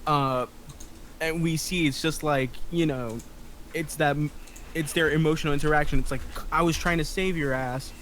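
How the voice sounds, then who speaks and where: A man's voice: a little high, fairly even intonation, normal speed, fairly bright tone, slight background noise. A man talking casually in a group feedback session, tentative and rambling, with thoughts that trail off. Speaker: script reading participant giving notes.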